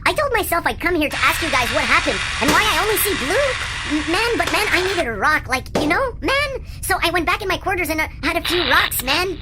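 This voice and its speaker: A woman's voice: extremely high pitch, big pitch swings, fairly quick, few pauses, over music. A woman voicing a whiny, drugged-out male crewman with slangy stoner talk, in an amateur sci-fi radio comedy. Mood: dazed, complaining. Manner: slangy stoner talk, ends phrases with "man".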